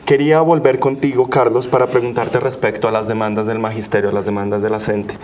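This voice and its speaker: A man with a Mexican accent hosting and moderating a panel discussion, measured and thinking aloud as he frames questions. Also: medium pitch, flat delivery, a little mellow. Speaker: talk show host and moderator. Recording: faint background noise with room ambience.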